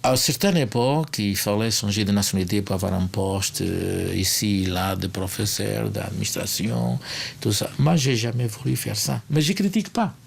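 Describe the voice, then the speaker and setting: Portuguese-accented man with a gravelly voice. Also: mid-range voice. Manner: earnest, tag questions, frequent hesitations. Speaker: sociologist interviewee. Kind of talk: documentary interview.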